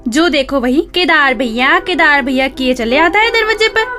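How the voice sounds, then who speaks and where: A high-voiced wife in a radio drama, sharp-tongued and theatrical, speaking. Music plays behind her. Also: lively intonation, moderate pace. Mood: scolding. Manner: scolding, complaining, theatrical. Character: nagging, sharp-tongued village wife of a poor blind labourer. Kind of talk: Hindi radio drama acting.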